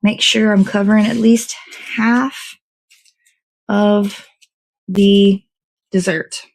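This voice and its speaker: A woman's voice: mid-range voice, flat delivery, slow pace, poor audio. Chatty woman hosting a casual live show. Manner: chatty, rambling, thinks aloud. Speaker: host of a morning show. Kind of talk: casual live chat while assembling a dessert.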